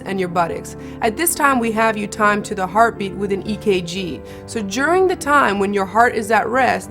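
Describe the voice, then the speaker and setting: A woman from a clinic's staff giving a clear, reassuring explanation of a treatment. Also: mid-range voice. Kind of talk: explanatory interview. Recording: music playing behind the voice.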